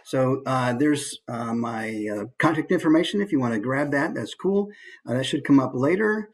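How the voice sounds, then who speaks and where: Easygoing man presenting, slightly husky voice. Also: mid-range voice, lively intonation, normal speed. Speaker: voice actor giving a presentation. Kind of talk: informal online talk with slides. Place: online video call.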